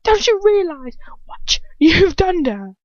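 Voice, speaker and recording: A woman voicing a fierce, protective, hot-headed teenage boy in a storybook reading, loud and strained as he shouts. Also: very high-pitched, big pitch swings, a little slow, no echo, highs cut off, pitched above the performer's own voice, full character voice. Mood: furious.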